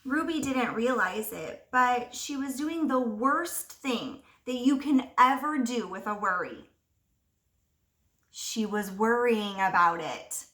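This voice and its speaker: A woman teacher reading a picture book aloud to young children, expressively, pausing to ask them simple questions. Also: a little high, expressive, fairly bright tone. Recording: roomy echo, distant microphone.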